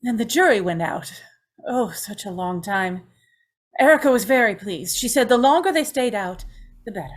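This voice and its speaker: A woman's voice: fairly high pitch, animated, moderate pace. A woman playing an anguished young condemned woman haunted by an inner voice, pleading and intense, in old-time radio drama style. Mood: subdued dread. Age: young adult.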